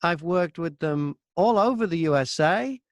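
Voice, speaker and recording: Male interview guest, high-pitched, chatty storyteller, very dry close sound. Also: expressive, measured pace, no room sound. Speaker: audiobook narrator and voice-over artist, interview guest. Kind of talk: casual interview anecdotes. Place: inside a wardrobe used as a home booth.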